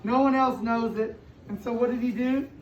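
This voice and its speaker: An American woman reads a picture book aloud to young children, pausing to explain and ask questions, with emphatic stretched words. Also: a little high, fairly even intonation, a little mellow. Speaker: school superintendent reading aloud to children. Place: indoor room with children present. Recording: distant, echoey, muffled room recording with noise.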